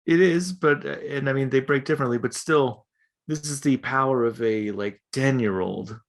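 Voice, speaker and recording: A man's voice: mid-range voice, normal speed. A man co-hosting a podcast in casual comic banter, interjecting with skeptical riffs. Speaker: podcast co-host.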